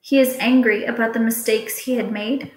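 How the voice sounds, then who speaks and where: A woman teacher reading test questions aloud to students in a deliberate, instructional way. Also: on the high side, steady tone. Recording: echoey room.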